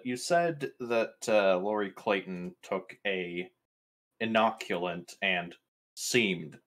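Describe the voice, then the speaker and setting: Male tabletop player, deep register, slow halting pace, deliberate in-character questioning.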